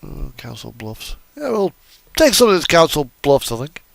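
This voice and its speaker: A man's voice: on the high side, big pitch swings, moderate pace, gravelly, no room sound. A man giving casual, unscripted commentary on a driving game, rambling and thinking aloud as he goes. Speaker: gaming video host.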